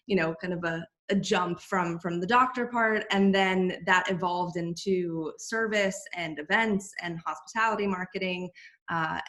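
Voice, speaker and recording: Chatty New woman, low voice. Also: fairly bright tone. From United States. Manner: chatty, many fillers and restarts. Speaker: podcast guest, co-founder. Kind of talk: casual interview chat.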